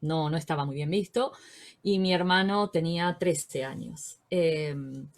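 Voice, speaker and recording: A woman in her sixties from Buenos Aires with a Rioplatense accent, talking about her life in an interview, thoughtful and full of pauses and repetitions. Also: deep voice. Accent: Rioplatense Spanish. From Buenos Aires, Argentina. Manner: warm, reflective, with frequent fillers and repetitions. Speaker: interviewee, social worker. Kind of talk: relaxed interview conversation.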